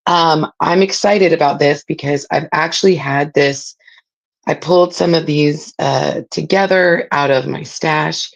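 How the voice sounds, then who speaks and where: A middle-aged woman, raspy from a spring cold, chatting casually as she hosts a live craft demo and greets viewers by name. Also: low-pitched, measured pace, low-quality recording. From Southern California. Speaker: craft livestream host. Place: home craft room.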